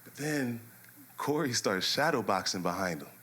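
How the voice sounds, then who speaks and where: A man hosting a podcast, telling stories in an animated way and filling with "you know what I mean". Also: on the low side, moderate pace, very noisy. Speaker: podcast host. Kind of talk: casual interview chat.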